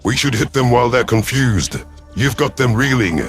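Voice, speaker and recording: A man voicing a gruff, proud alien chief hunter who commands a fleet, growling and impatient, in a first-person sci-fi audio drama. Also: moderate pace, faint music behind, lightly acted. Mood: snarling, impatient. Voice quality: low, growling.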